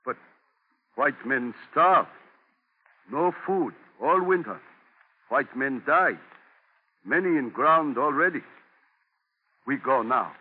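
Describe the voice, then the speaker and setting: Blunt go-between, husky, tinny radio. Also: male voice, mid-range voice, expressive, slow pace, long pauses. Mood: urging. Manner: clipped, broken English, declamatory. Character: blunt, urging Native man, friendly go-between. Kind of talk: 1940s radio drama acting. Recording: muffled, echoing, tinny 1940 radio recording.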